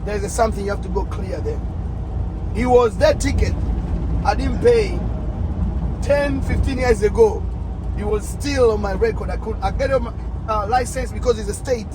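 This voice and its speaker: A man's voice: high voice, moderate pace, pauses often. A middle-aged man from Tanzania with a Tanzanian accent, telling personal stories casually and repeating phrases for emphasis. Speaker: truck driver telling his experience. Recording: noisy outdoor urban traffic noise.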